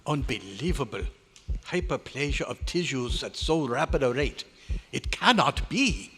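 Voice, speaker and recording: An excitable German research doctor, played by a man, with bright, animated, dramatic delivery in old radio horror style. His diction is formal and emphatic, and the hall rings around him with long echo. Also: medium pitch, a little slow, a little hiss or hum. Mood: alarmed. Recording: live hotel meeting room, long echo.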